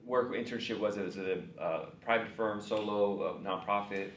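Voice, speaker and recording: A man hosting an interview, relaxed and quick with questions, laughing easily. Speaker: podcast host, interviewer. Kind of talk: casual interview conversation. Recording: distant mic in echoey room, faint rumble.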